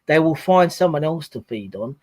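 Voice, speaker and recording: A man's voice: a little high, lively intonation, moderate pace, a little mellow, no echo. A man in his forties, giving life advice in a casual livestream chat, preachy and fond of sayings. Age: 40s. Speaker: guest on a livestream.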